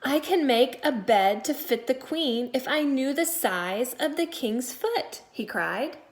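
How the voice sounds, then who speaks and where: A woman teacher reading a storybook aloud to young children in an expressive, deliberate way, stressing key words. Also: sits high. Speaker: second-grade teacher.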